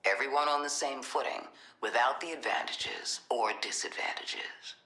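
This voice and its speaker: An actress playing a commanding middle-aged government director in a video game, measured and coolly manipulative. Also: female voice, a bass voice, sharp tone, roomy sound, low-quality recording. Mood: firm, controlled. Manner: measured, authoritative.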